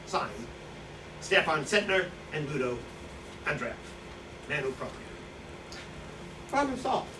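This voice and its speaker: Man playing a boastful profiteer, high-pitched, echoey room. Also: very animated, unhurried, pauses often. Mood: boastful, smug. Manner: rambling, boastful, self-justifying, loses his thread. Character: boastful, hard-drinking male Slovak profiteer, self-styled outlaw. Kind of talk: staged play reading, monologue-like recollection. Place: indoor staged reading, distant microphone. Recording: echoey, noisy room recorded from far off.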